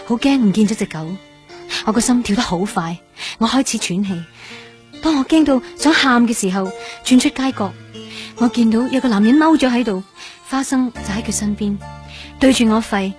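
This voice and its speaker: With faint music behind, an actress plays a sweet young woman still fragile after illness. Her voice sits a little high, with a Hong Kong Cantonese accent, earnest in radio-drama style. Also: darkish tone, natural voice. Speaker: actor. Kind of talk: radio drama, narrated inner monologue and dialogue. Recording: music under the voice. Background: background music.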